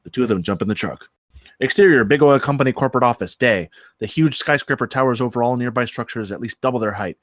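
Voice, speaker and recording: A man serves as narrator at a script table read. He reads scene headings and action lines in a medium-pitched voice, recorded dry with little room sound. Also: fast, no echo. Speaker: narrator of stage directions.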